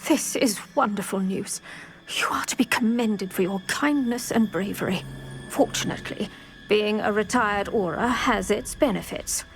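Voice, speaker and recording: An actor voicing an older retired Auror woman who speaks briskly and formally in scripted game dialogue. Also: medium pitch, soft background music, lightly acted. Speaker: retired Auror guiding a student.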